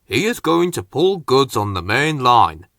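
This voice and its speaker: A man voicing an authoritative, brisk male railway boss in a children's storybook. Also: mid-range voice, sing-song, a bit bright, no room sound, studio quality. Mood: announcing.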